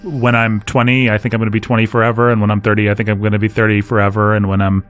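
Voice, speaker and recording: Male podcast host, low register, easygoing conversational delivery over soft background music. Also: little pitch movement, very fast. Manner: conversational, laughs while talking. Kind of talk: casual discussion.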